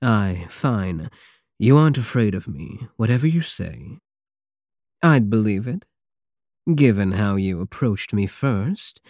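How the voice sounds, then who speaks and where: Pirate king for ASMR roleplay, male actor: big, possessive, sardonic teaser, unhurried delivery, dry close sound. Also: on the low side, expressive, darkish tone, no echo. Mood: cocky, teasing. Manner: slow, teasing, purring endearments, sardonic. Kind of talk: intimate ASMR roleplay acting.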